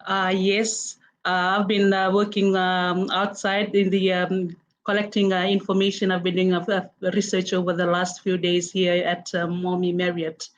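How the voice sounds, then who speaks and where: A middle-aged woman with a Fijian accent, a guest in a casual interview, who speaks haltingly with many "uh" and "um" pauses. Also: on the low side, little pitch movement, slight background noise. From Fiji. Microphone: video call. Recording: dull lo-fi online call audio.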